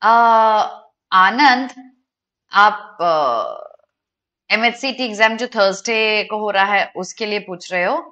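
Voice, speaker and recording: Bright-voiced young Indian woman teaching. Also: medium pitch, lively intonation, rapid-fire, highs cut off. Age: young adult. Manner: instructional, mixes Hindi into English. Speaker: exam prep teacher. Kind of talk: online lesson, talking to live students.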